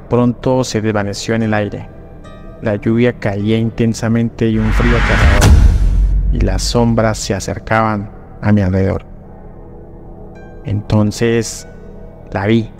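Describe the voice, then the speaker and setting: A man reading a scripted horror legend in first person, slow and solemn, with drawn-out pauses. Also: lowish voice. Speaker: narrator. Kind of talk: dramatic scripted horror narration. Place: voice-over over rainy night images. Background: soft background music.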